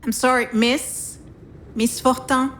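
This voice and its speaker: An actress playing a frank, composed, sympathetic hospital doctor in a visual novel, speaking in a measured, professional way. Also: female voice, sits high, little pitch movement, a bit bright, a little hiss or hum. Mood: businesslike.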